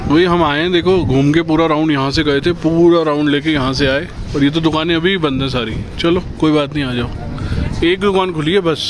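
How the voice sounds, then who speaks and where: A man's voice: on the high side, moderate pace, noisy background. A man in his thirties, a vlogger, talking casually and upbeat, mixing English words into his speech. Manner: chatty, upbeat, mixes English words into Hindi. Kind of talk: casual vlog with sponsored segment. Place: small room indoors, then outdoors on a busy hill-town street.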